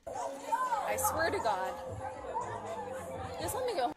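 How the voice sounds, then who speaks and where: A woman in her mid-twenties pleading insistently, recorded on a bodycam amid a loud crowd. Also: very high voice, wildly swooping pitch, slow, over music. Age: mid-20s. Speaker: member of the public stopped by police.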